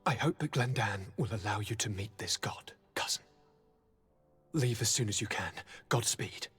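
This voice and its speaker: An actor playing an ailing, despairing young nobleman in a video game, with a breathy, husky voice. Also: lowish voice, normal speed, fairly bright tone, soft background music. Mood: weary, grateful. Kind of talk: video game cutscene acting.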